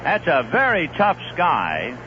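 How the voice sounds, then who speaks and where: A man calling baseball play-by-play on a 1970s radio broadcast, in a steady, conversational manner. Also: takes it slowly. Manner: steady, conversational play-by-play with live pitch calls. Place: stadium broadcast booth. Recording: muffled 1970s AM radio broadcast with faint hiss.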